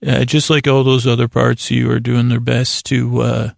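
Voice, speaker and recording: Very creaky, rambling American man. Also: medium pitch, little pitch movement, no echo, studio quality. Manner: meandering, halting, trails off mid-thought. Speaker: podcast host. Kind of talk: rambling comedic bedtime monologue.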